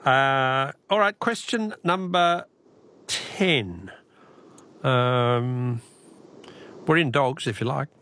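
A man's voice: mid-range voice, extremely slow, pauses often, sharp tone. An Australian man hosting a live phone-in radio quiz, chatting with callers in a jokey, bantering way.

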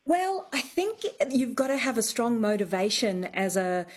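A woman guest on a radio show, explaining accents in a thoughtful, measured way. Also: a little high, very animated. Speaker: dialect expert guest. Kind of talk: radio interview.

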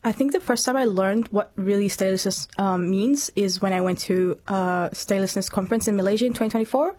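A woman's voice: mid-range voice, brisk, mellow, a little hiss or hum. A woman in her twenties from Myanmar, speaking earnestly and reflectively as an interview guest. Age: 20s. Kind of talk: personal testimony in interview.

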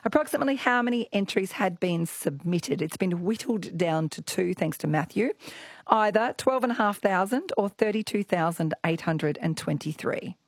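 A croaky, husky Australian woman in her forties hosting an evening radio quiz with callers, bubbly and teasing. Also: medium pitch, animated, normal speed, no room sound. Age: 40s. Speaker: radio host. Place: radio studio.

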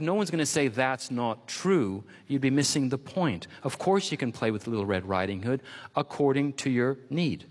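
Reflective man from Quebec, native French, author being interviewed, repeats for emphasis, echoing hall. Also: mid-range voice. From Quebec, Canada. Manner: thoughtful, expansive, repeats for emphasis. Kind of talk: interview conversation.

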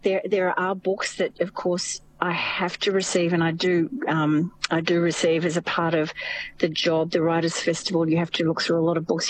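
A woman's voice: fairly low pitch, slight background noise, rough recording. An Australian woman, a journalist guest on a radio show, talking in a musing way with many fillers. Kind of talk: casual radio interview.

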